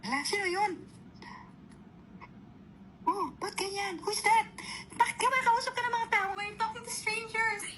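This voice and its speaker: Casting: Filipina, piercing bright tone, casual exclamatory reactions, faint noise behind. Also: female voice, extremely high pitch, normal speed, pauses often.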